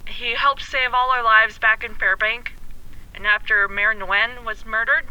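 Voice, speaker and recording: Woman doing a tough lady sheriff, blunt n commanding, very sharp voice, slightly dull lo-fi audio. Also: a little high, fairly even intonation, a little slow, smooth. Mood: weary, gruff. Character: tough, weary female sheriff interrogating a prisoner. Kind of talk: post-apocalyptic audio drama acting.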